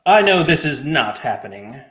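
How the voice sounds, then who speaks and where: A man playing a stiff, dour, halting newcomer in a table read of a stage comedy. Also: medium pitch, big pitch swings, a bit bright, echoey room.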